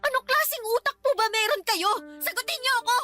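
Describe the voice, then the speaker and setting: A woman's voice: very high-pitched, lively intonation, fast talker, bright, forceful tone, exaggerated voice. A Filipino woman playing an outspoken, fed-up young adult daughter in a radio drama, indignant and reproachful. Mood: angry, hurt. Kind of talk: Tagalog radio drama acting. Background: background music.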